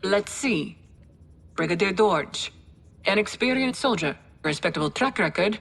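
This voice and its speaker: An actress playing a dry, wry female military adviser with a husky voice, briefing in a matter-of-fact way in a game cutscene. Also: medium pitch, lively intonation, a little slow, fairly bright tone, exaggerated voice. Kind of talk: video game cutscene acting.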